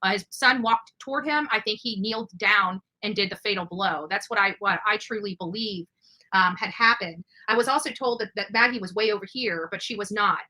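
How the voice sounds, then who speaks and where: A woman hosting a true-crime livestream, commenting over footage in a halting, emphatic way with repeated words. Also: medium pitch, pushed and bright, low-quality recording. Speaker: livestream host.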